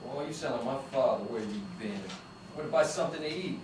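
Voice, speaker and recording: A man's voice: mid-range voice, fast talker, noisy background, natural voice. An actor playing a joking male vice cop who brushes off hard cases and loves his lunch, with a dismissive, wisecracking manner. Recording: echoey room picked up from a distance.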